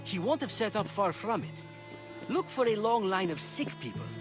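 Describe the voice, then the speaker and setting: High-pitched, nervous young apprentice. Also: male voice, lively intonation, moderate pace, music in the background. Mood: grateful. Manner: flustered, eager, deferential. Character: nervous, earnest male apprentice physician in ancient Greece. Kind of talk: video game cutscene dialogue.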